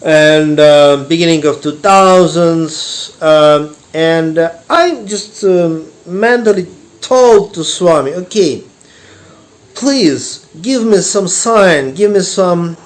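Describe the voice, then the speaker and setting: A man with a Russian accent telling a personal story slowly, with many pauses and fillers. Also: fairly high pitch. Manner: unhurried, halting, many fillers and restarts. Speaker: meditation teacher and artist.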